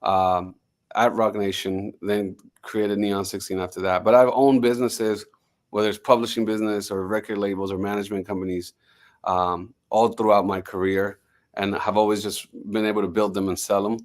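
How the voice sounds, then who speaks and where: A man's voice: fairly low pitch, little pitch movement, moderate pace. A man who grew up in Miami, a music executive speaking confidently as a podcast guest, often restarting his sentences. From Miami, United States. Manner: fluent, confident, with frequent restarts. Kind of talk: podcast interview.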